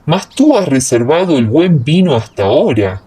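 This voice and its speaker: Woman putting on an animated, husky male banquet steward. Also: low-pitched, normal speed, pitched below the performer's own voice, exaggerated voice. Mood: impressed.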